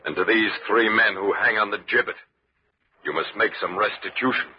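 An actor playing a stern, ghostly rider who judges the guilty, solemn and commanding in 1940s radio style. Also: male voice, on the low side, moderate pace, close to an everyday voice. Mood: stern, accusing. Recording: muffled, noisy 1940s radio recording.

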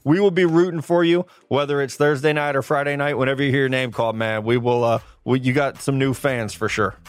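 A man's voice: mid-range voice, dry recording. Chatty man hosting a podcast, dry sound. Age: adult, older than a college player. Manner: relaxed, chatty, quick asides and laughs. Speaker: podcast host. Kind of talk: casual interview chat.

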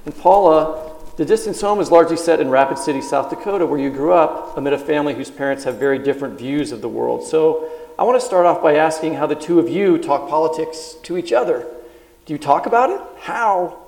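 A man's voice: fairly high pitch, fairly quick, darkish tone. A man co-hosting a live podcast taping, reading a scripted introduction and then asking loose conversational questions. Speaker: podcast co-host. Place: stage at a live book festival event. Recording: roomy hall sound at a live event.

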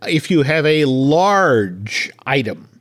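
A man's voice: fairly high pitch, sing-song, takes it slowly. A man hosting a podcast, talking in a casual, chatty way about entertainment news, often repeating words for emphasis. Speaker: podcast host.

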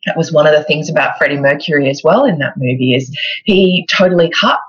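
A woman's voice: deep voice, a little fast, slightly rough. A woman with an Australian accent, talking casually and reflectively as co-host of an astrology show. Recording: dull, lo-fi microphone sound.

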